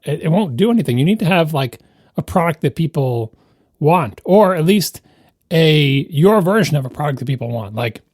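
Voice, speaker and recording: A man co-hosting a casual tech podcast, his voice hoarse and gravelly, rambling with restarts. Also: a little high, a little mellow, no echo, clean studio sound. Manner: rambling, restarts mid-sentence. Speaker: podcast co-host.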